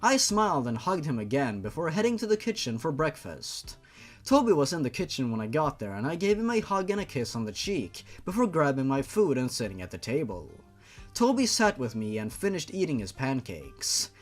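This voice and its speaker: A man's voice: fairly high pitch, sing-song, normal speed, soft background music. A man reading fan fiction aloud in a theatrical, mocking way, as host and commentator. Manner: theatrical, sweary, incredulous asides. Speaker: host, reader.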